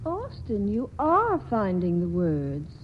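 A woman's voice: mid-range voice, wildly swooping pitch, slow. An actress playing a restless, wry and lovelorn young woman in a 1940s radio drama, with a theatrical, weary manner. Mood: wry, detached. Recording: dull, noisy 1940s radio broadcast recording.

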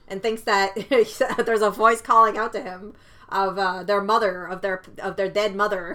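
A woman's voice: mid-range voice, bright, forceful tone. A woman whose first language is Cantonese, chatting casually about a film, often laughing and restarting her sentences. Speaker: film review co-host. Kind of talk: casual chat.

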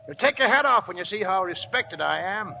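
An actor playing a seasoned old American gold prospector, folksy and wry, in 1940s radio drama style. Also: male voice, sits high, animated, fairly quick, gravelly, sharp tone, heavily acted. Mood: wry, contented. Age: older. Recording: dull, noisy old radio recording.

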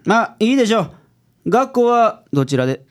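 A woman's voice: on the high side, sing-song, brisk. A woman playing a brusque, dry male private detective in a comic radio drama, with of speech. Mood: pondering. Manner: brusque, dry, casual older-man phrasing. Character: gruff male private detective, brusque, stubborn, sneezing with hay fever.

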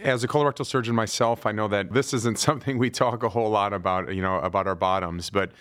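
A man's voice: on the low side, steady tone, fast talker, fairly bright tone. A middle-aged man hosting a podcast, asking questions in a friendly, upbeat way. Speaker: podcast host. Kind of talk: podcast interview.

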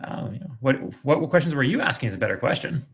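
A man's voice: mid-range voice, lively intonation, fast. A man hosting a podcast interview in a casual, encouraging way, often rephrasing his questions as he goes.

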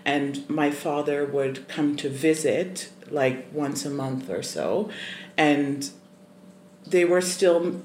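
Slow, thoughtful guest from Zimbabwe. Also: medium pitch. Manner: thoughtful, measured, many ums, laughs often. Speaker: podcast guest. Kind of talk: casual interview storytelling. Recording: roomy echoey room, faint noise.

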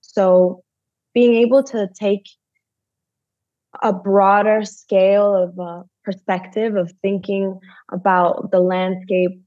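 A woman speaking thoughtfully as a podcast guest, pausing to find her words, heard over a dull, dry remote line. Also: medium pitch, flat delivery, no echo. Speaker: nonprofit director. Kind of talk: podcast interview.

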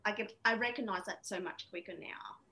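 A woman from Vietnam, Vietnamese her first language, speaking reflectively as an interview guest with many pauses and fillers. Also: medium pitch, sharp tone, slight background noise. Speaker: interview guest, women's coach. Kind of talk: casual interview.

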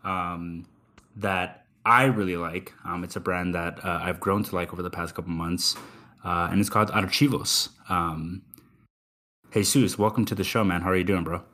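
A young man hosts his podcast in a loose, laid-back way, tossing in swears and plenty of ums. His voice sits very low. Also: steady tone, normal speed, sharp tone. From Texas. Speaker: podcast host. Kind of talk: casual interview chat.

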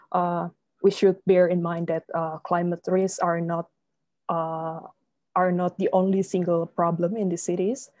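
A woman from Indonesia, a researcher answering interview questions in a measured way with many filler pauses. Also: lowish voice, mellow, no echo. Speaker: guest researcher.

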